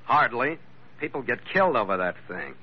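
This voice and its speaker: An actor playing a tough, wisecracking ship's captain with an American accent in a hard-boiled old radio adventure, sardonic and slangy. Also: male voice, medium pitch, very animated, moderate pace, breathy edge. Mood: defiant, sardonic. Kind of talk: hard-boiled 1940s-50s radio acting and narration. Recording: dull vintage radio recording.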